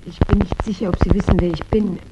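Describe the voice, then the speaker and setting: A woman acting a resolute widow in an old radio crime play. Her voice is fairly low, with earnest, careful phrasing that probes quietly. The vintage recording is dull, with clear background noise. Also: fairly even intonation, fast talker, natural voice. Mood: determined, careful. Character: recently widowed English woman, determined, quietly probing. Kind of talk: 1960s German radio crime drama acting. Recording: muffled, noisy 1960s radio drama recording.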